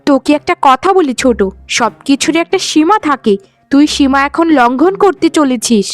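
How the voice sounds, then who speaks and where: A woman's voice: high-pitched, animated, normal speed. A woman voicing a protective, righteous elder sister-in-law in a cartoon, speaking in a firm, reproachful, concerned way. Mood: stern, reproachful. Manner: coaxing yet firm, reproachful. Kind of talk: melodramatic cartoon voice acting. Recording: music behind the voice. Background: music.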